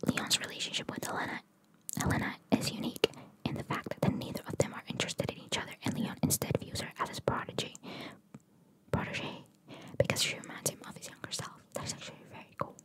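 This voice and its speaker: A woman hosting ASMR, reading trivia in a breathy whisper with chatty, informal, opinionated asides. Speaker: ASMR host.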